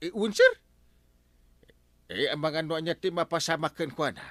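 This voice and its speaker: A man's voice: on the high side, expressive, normal speed, a bit bright, dry recording. A man playing a bumbling, hesitant village captain and argumentative husband in a comedy radio drama. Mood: nervous, eager.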